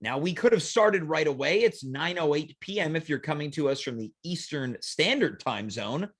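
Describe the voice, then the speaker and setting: A male host with a slightly high voice and lively, swinging intonation. He speaks in a deliberate, theatrical way, setting up his show and bantering with pauses for effect. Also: husky.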